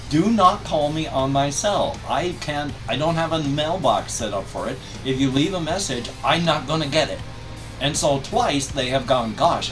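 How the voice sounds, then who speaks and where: Older man rambling, distant mic over music. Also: on the high side. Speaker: video creator. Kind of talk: casual personal vlog monologue.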